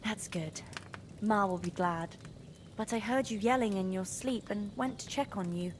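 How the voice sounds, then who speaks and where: A woman's voice: mid-range voice, normal speed, slight background noise, natural voice. An actress playing a kind, practical young village woman in a video game, with folksy, down-to-earth speech. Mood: caring. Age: young adult. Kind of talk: video game cutscene dialogue.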